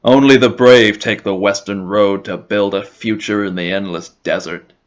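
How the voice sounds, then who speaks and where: A man's voice: on the low side, steady tone, moderate pace, close to an everyday voice. A man acting as a folksy, grandiose Old West storyteller, narrating an audio play theatrically. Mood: dramatic. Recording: faint background noise.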